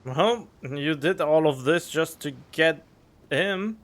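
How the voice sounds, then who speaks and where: A man's voice: on the high side, animated, takes it slowly, a bit bright. A man giving casual, joking commentary while playing a game, on a desk condenser mic. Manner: joking asides, drawn out. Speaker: let's play streamer. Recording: game music playing behind.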